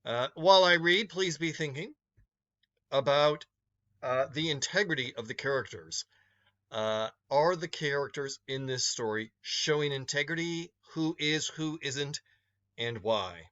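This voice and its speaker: A male teacher narrates a short story for students to follow along. His voice is bright and forceful, a little high, and he takes it at a slow pace. The recording is slightly dull.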